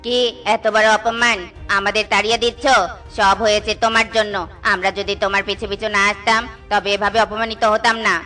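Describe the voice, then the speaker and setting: A woman's voice: mid-range voice, level tone, fairly quick, pushed and bright, faint music behind, natural voice. A woman voicing an earnest, cautious cloud who is easily offended in a children's cartoon.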